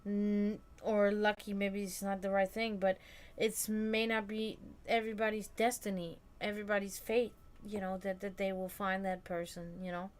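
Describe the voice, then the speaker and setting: A woman in her thirties hosting a casual live stream, talking frankly and reflectively and often letting her sentences trail off quietly. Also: mid-range voice, little pitch movement, moderate pace. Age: 30s. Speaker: stream host.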